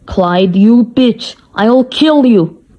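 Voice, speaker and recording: A woman's voice: a little high, animated, extremely slow, lo-fi sound. A woman playing a hot-tempered, grieving Russian male butler in an amateur radio play, emphatic and dramatic. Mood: agitated, angry.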